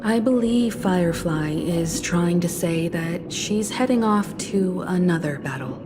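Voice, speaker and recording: A woman's voice: lowish voice, expressive, unhurried, over music. An actress playing a composed, mature woman who leads steadfastly in a video game, poised, formal and earnest. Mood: grave, explanatory. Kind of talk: dramatic video game voice acting.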